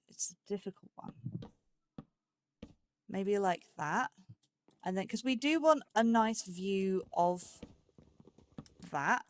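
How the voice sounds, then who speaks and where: A woman's voice: lowish voice, darkish tone. Woman, casual let's play host, mid-range voice, playful self-talk, fragmented thinking-aloud phrases. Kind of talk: casual gameplay commentary.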